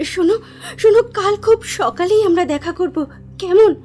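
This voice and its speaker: A woman playing an affectionate, anxious young bride-to-be in a Bengali audio drama, speaking in a theatrical, expressive way. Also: extremely high pitch, normal speed. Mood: worried. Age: young adult. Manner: theatrical, expressive, hesitant repetitions when alarmed.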